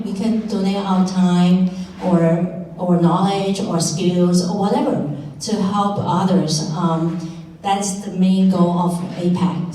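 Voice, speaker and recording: A woman speaking earnestly on a panel, unscripted and halting, with non-native English phrasing. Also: lowish voice, warm tone. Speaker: community center board member on a panel. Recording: very echoey room, distant mic.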